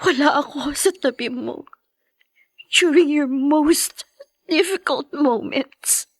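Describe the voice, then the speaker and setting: A woman's voice: sits high, takes it slowly, dry recording. An actress playing a young woman grieving her mother, tearful and pleading. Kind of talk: radio-style drama acting.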